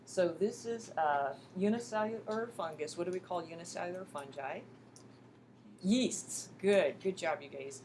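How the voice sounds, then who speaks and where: A woman's voice: fairly low pitch, measured pace, darkish tone. A woman lecturing to a college class in a folksy, encouraging way, prompting students with questions as she goes. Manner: folksy, addresses students often as "you guys" and "folks"; encouraging, question-and-answer teaching. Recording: clearly noisy classroom recording.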